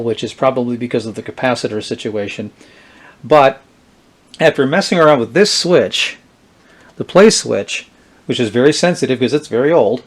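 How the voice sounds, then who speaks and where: A man with a mid-pitched voice presents vintage electronics in a casual demonstration style. He is unhurried and halting, working out his thoughts aloud as he explains the item in front of him. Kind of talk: casual product demonstration vlog.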